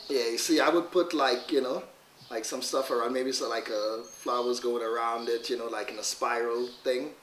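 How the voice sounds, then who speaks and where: A middle-aged Trinidadian man talks in a loose, rambling way, full of "you know" and "like." His voice sits at a medium pitch and is heard from a little way off in an echoey room with faint background hiss. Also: steady tone, normal speed, fairly bright tone. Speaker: interviewee, father. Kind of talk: casual interview. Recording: echoey room, mic at a distance.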